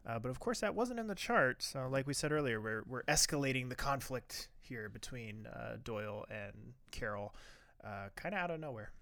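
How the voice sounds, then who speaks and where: A man's voice: medium pitch, lively intonation, normal speed, vocal fry, sharp tone, dry recording. A man co-hosting a podcast, summarizing a TV episode in casual chat with stammering restarts and jokes. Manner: stammering restarts, joking asides. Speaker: podcast co-host.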